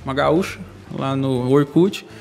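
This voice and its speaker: A young man from Brazil, a podcast guest, telling his life story in a fast, slangy, candid way. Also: mid-range voice, gravelly, a little mellow, faint music behind. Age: young adult. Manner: fast, slangy, candid, laughs between lines. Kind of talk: casual storytelling interview.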